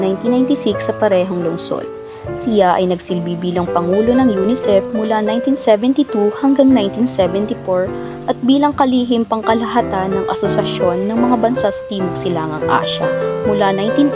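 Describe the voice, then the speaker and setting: A woman reading a scripted introduction as narrator of a programme. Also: mid-range voice. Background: loud music.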